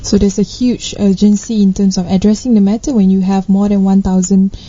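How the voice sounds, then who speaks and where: A woman hosting a radio talk show panel, asking questions and giving soft back-channel mm-hmms. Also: medium pitch, flat delivery, fairly quick. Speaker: host. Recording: dull lo-fi studio sound.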